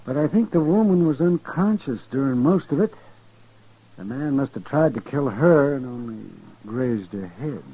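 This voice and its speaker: A tired, grim country doctor in a western radio play. He speaks haltingly in a mid-pitched, rough voice on a dulled old recording. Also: expressive. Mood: grim, weary. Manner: halting, matter-of-fact. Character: weary, grim male frontier doctor. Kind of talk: 1950s radio western acting. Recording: muffled vintage radio recording.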